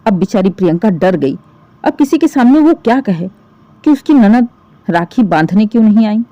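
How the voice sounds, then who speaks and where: A woman's voice: mid-range voice, lively intonation, moderate pace, dark tone, no room sound, lo-fi sound. A woman narrating a scripted family story expressively as a storyteller.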